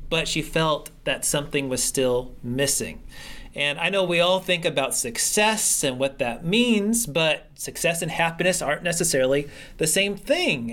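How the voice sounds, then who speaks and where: A man's voice: on the high side, fairly bright tone. A man hosting a podcast interview, upbeat and enthusiastic, a bit rambling. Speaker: podcast host. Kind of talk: interview conversation.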